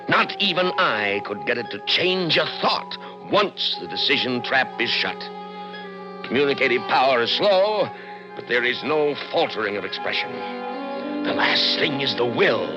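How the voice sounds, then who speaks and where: An actor in a 1960s radio drama playing a brooding, intense scientist with theatrical, deliberate emphasis. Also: male voice, mid-range voice. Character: obsessive brilliant male scientist, brooding and intense. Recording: lo-fi old radio broadcast recording.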